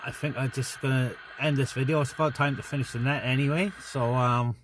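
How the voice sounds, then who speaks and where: A man's voice: medium pitch, fairly even intonation, brisk, background noise. A man chatting casually and a little hesitantly as he hosts a CB radio net. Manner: hesitant, informal. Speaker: CB radio net host and vlogger. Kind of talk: casual rambling chat to camera and radio. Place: outdoors on a hilltop by a car.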